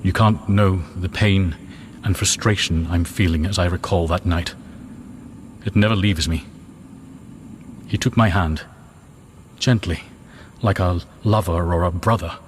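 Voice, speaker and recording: An actor playing a haunted man recounting a night of murder in slow, grave, anguished radio drama narration. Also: low-pitched, fairly even intonation, big-room sound, slight background noise.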